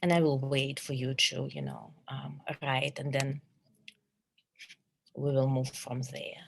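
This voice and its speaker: A middle-aged woman from Russia with a Russian accent, a psychologist giving an earnest, measured webinar presentation with many fillers and restarts over a video call. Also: very deep voice, animated, creaky, husky.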